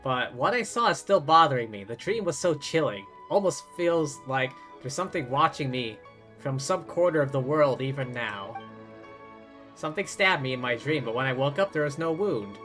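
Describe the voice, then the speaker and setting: A man's voice: mid-range voice, lively intonation, moderate pace, a bit bright. A man who streams games, reading dialogue and narration aloud dramatically, with casual asides. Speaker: game streamer. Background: game music.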